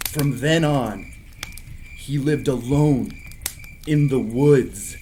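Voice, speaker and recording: A man's voice: medium pitch, drawn-out words, dark tone, a little hiss or hum. An actor playing a cocky young man, a theatrical, easily exasperated camp counselor telling campfire stories in an audio drama. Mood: ominous.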